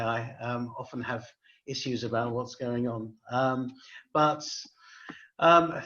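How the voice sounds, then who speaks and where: Man, host, polite and hesitant, flat monotone delivery, dull video call sound. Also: medium pitch. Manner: polite, hesitant, many ums. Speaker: host, rabbi. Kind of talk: closing thanks at an online event.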